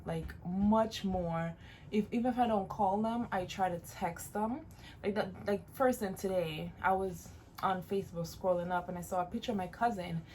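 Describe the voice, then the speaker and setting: A woman in her late twenties, originally from Jamaica, talks to her viewers in a relaxed, encouraging way. She leans on repeated phrases to drive her points home, in a somewhat low voice. A faint hiss sits under the recording. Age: late 20s. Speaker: vlogger. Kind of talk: casual advice vlog.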